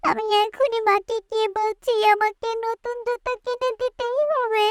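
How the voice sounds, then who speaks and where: A woman's voice: very high voice, little pitch movement, normal speed, music in the background. A woman voicing a little village girl, a pleading, whiny younger sister in a cartoon moral story. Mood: tearful, upset. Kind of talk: animated moral story dialogue.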